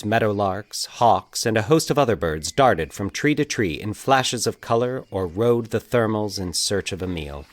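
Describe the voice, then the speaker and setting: A man narrating a scripted fiction story in a measured, literary storytelling way. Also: fairly low pitch, no room sound.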